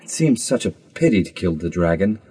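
A woman's voice: rumbling low, big pitch swings, normal speed, dark tone, breathy edge, no room sound, pitched below the performer's own voice, heavily acted. A woman voicing a polite, practical young prince in a storybook, talking matter-of-factly.

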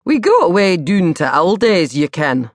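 A woman's voice: fairly low pitch, very animated, measured pace, no echo, studio quality, full character voice. A woman voicing a half-witted young village lad with a broad Scottish accent in a comic audiobook. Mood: dim, casual.

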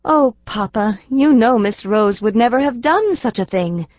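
An earnest, sensitive little girl, as a woman reader puts her on, with a lifted, eager voice. She sounds pleading and quick to protest. The recording is dry and a little dull. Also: fairly high pitch, dry recording, voice pushed up, natural voice.

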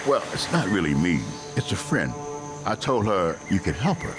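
An actor playing a laid-back bar owner in a radio drama, talking in a casual, understated, slightly hesitant way about a worry for a friend. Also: male voice, sits low, big pitch swings, moderate pace. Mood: coaxing. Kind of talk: modern radio drama acting. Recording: loud background music and ambient noise. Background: music and ambient noise.

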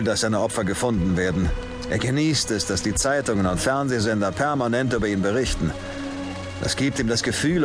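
A man's voice: on the low side, close to an everyday voice. A male actor playing a cynical, world-weary middle-aged police detective in a crime audio drama, with a biting, emphatic delivery.

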